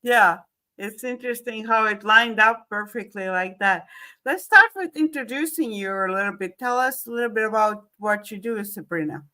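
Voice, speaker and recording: Estonian-accented woman host, bright clear tone, friendly interviewer, casual questions. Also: on the high side, moderate pace. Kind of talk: online interview.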